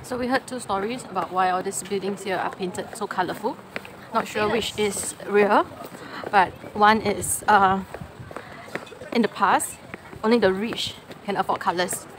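A chatty woman talks to her viewers at an ordinary middle pitch, laughing easily as she goes. She is recorded on a phone outdoors by a windy harbour, with loud wind and street noise all around. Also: measured pace. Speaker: vlogger. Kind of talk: casual travel vlog narration.

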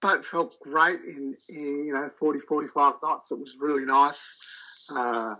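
A man talking about his sailing voyage as an interview guest, telling it in a halting, loose way. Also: on the high side, fairly even intonation, bright, forceful tone, low-quality recording. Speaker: solo sailor guest. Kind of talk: casual interview chat.

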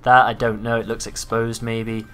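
A man giving casual gameplay commentary, his voice a little raspy from hours of talking, excitable and swearing freely. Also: mid-range voice, normal speed. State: slightly raspy from long talking. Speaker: gaming YouTuber.

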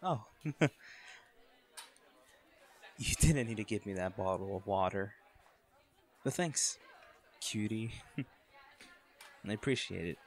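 A man's voice: fairly low pitch, lively intonation, takes it slowly, long pauses, a faint crowd. A man playing a cocky college volleyball captain. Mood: teasing. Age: young adult. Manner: laid-back, laughs often, swears casually. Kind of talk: audio roleplay to a listener.

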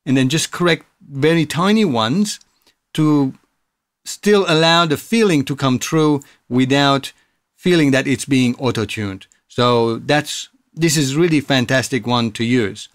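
A man's voice: medium pitch, unhurried, dry recording, studio quality. A man presenting a music production tutorial in a casual, explanatory, informal way. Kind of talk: casual software tutorial narration.

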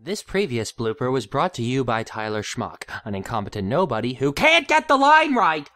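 Animated amateur actress, middle pitch, dry close sound over music. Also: female voice, moderate pace, no echo. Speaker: amateur voice actor. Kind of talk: performed outtake lines.

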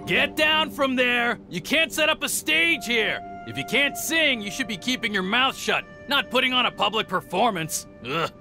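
Exasperated father, bright swooping voice. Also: very high-pitched, lively intonation, normal speed, few pauses, soft background music, full character voice. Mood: angry. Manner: scolding. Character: exasperated, stern but caring father. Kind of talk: anime video game voice acting.

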